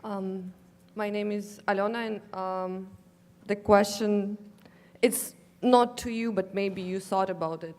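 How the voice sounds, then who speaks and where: A woman's voice: mid-range voice, steady tone, slow, darkish tone, long reverb tail, slight background noise. A woman from the former Soviet region with an Eastern European accent, hesitantly asking a question from the audience. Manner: hesitant, apologetic. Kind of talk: question from the floor.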